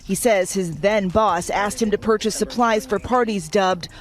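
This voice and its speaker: A woman's voice: mid-range voice, normal speed. A woman reading a scripted broadcast news report as a reporter's voice-over.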